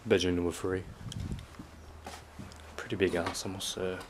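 A very low-pitched man talks casually as he explores, dropping understated, murmured remarks like a vlogger. The handheld camera audio carries clear noise and small clicks. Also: level tone, measured pace. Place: inside a derelict house. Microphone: handheld camera microphone.

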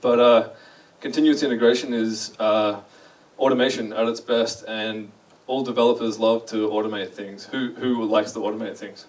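Young Australian guy on a stage, relaxed and self-mocking, a bit distant from the mic in a large hall. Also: lowish voice, moderate pace, hardly any pauses. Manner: chatty, self-deprecating, asks the audience questions. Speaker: conference speaker. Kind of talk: casual conference talk. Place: large dark lecture hall.

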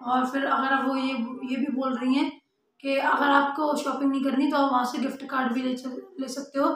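A woman's voice: sits high, little pitch movement, moderate pace. A young adult woman, explaining things casually and directly to her viewers as a vlogger. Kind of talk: casual awareness talk to camera. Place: small room at home. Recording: very echoey room, faint noise.

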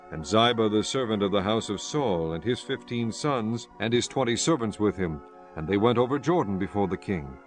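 Low-voiced man narrating scripture over music.

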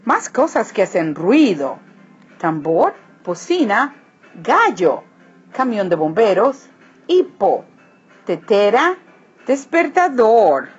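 Slow, lively woman reading a picture book. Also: medium pitch, soft background music. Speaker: read-aloud narrator. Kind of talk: children's picture book read-aloud. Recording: dull lo-fi recording, clear background noise.

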